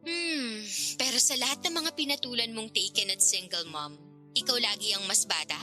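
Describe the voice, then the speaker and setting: A woman's voice: a little high, normal speed, pushed and bright, faint music behind. An actress playing a curious, teasing, frank older girlfriend figure in a radio drama, talking in a playful, probing, casual way.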